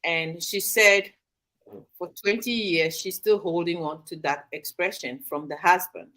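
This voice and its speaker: A woman hosting a live online talk show, speaking in a measured way with frequent pauses and fillers. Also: fairly low pitch, steady tone, fairly bright tone, rough recording. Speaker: host. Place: studio or home in Sydney, Australia.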